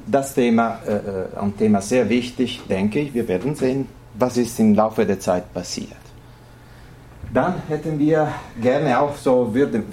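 A man from Italy with an Italian accent, presenting an informal lecture in a halting way, searching for words in a language not his native one. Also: lowish voice, dark tone, slight background noise.

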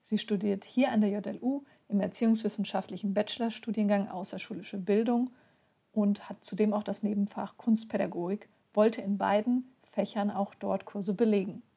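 A woman interviewer with a gravelly, dark voice. She speaks in a measured, formal way as she introduces her guest and asks questions. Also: mid-range voice, fairly even intonation.

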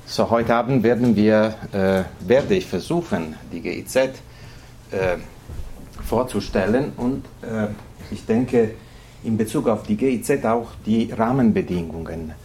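Halting Italian-accented man giving a lecture. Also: on the low side, dark tone, slight background noise. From Italy. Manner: halting, searching for words in non-native phrasing. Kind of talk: informal lecture presentation.

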